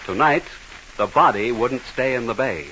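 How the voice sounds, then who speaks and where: A man's voice: medium pitch, lively intonation, normal speed. A man announcing on 1940s radio, reading scripted copy with an emphatic, polished sales delivery. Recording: hissy old radio broadcast.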